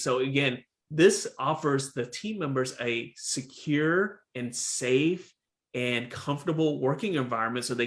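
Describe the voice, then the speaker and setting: A man hosting a dental podcast over a video call, chatting casually and often saying "again" and "right?". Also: medium pitch. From Texas. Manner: animated, repeats "again" and "right?". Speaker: podcast host, dentist. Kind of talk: casual interview chat.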